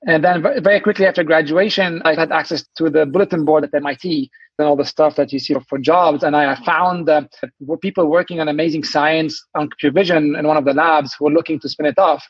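A man's voice: on the high side, fairly even intonation, quick pace, slightly rough, dry recording, highs cut off. A man from Lebanon, a venture investor, telling his background in a podcast interview in a fluent, storytelling way. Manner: fluent, storytelling, some restarts.

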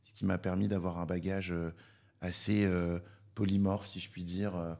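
Very deep, flat-voiced Parisian man. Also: vocal fry. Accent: Parisian French. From France. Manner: measured, filler-heavy, explanatory. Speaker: podcast guest, public affairs director. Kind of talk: casual interview talk.

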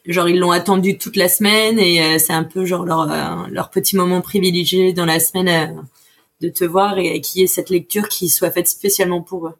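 A woman's voice: fairly low pitch, steady tone, normal speed, vocal fry, husky. A woman conducting a casual interview in an informal, supportive way, rephrasing what she hears.

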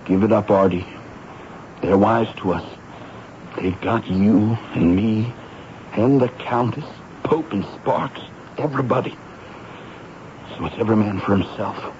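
An actor playing a boyish, earnest informer in a 1970s radio drama, deferential and resigned to confessing. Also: male voice, lowish voice, a little slow, pauses often, darkish tone, lightly acted. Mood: pleading, resigned. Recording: muffled old radio broadcast, faint noise.

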